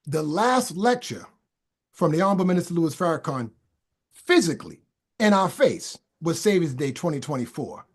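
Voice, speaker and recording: High-voiced man preaching fervently. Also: expressive, normal speed, slightly rough. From Boston, USA. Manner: stammering restarts, repeats phrases for emphasis. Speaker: podcast guest. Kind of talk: casual talk building into fervent preaching.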